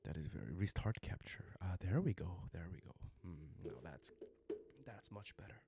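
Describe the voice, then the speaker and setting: A man's voice: deep voice, expressive, normal speed, vocal fry, dark tone, husky, no room sound. Chatty man teaching on a livestream. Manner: chatty, mixes English and Korean, repeats phrases for learners. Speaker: Korean language teacher. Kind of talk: casual livestream lesson.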